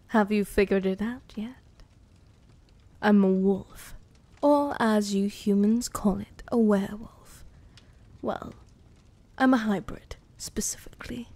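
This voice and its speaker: Sadistic British she-wolf, acted by a woman for ASMR roleplay: very slow, softly dark breathy whisper, teasing menace. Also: a little high, exaggerated voice. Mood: condescending, amused. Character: sadistic, possessive female werewolf-witch hybrid toying with prey.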